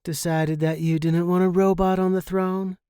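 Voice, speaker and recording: A man's voice: sits high, little pitch movement, normal speed, dark tone, clear, resonant tone, no echo, clean studio sound, pitched above the performer's own voice, close to an everyday voice. A man voicing a bold, flirty female space freighter captain in an audio story, playful and folksy. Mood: sexy, teasing.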